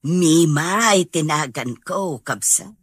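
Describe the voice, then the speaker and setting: A gentle Ilocano village mother for a radio drama. She is devout and neighbourly, with a lowish husky voice. Her lines come slowly, recorded close and dry. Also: expressive, no echo, exaggerated voice. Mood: friendly.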